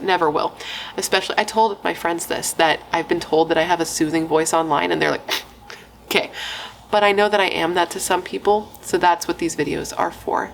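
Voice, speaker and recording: A woman's voice: on the low side, vocal fry, a little hiss or hum, low-quality recording. A young adult woman, a pregnant true crime YouTuber, chatting playfully with dramatic asides and casual swearing.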